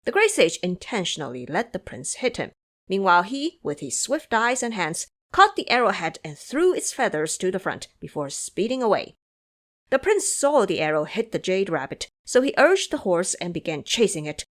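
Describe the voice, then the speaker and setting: A woman narrating an audio drama as a scripted story, with expressive, dramatic delivery. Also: mid-range voice, normal speed.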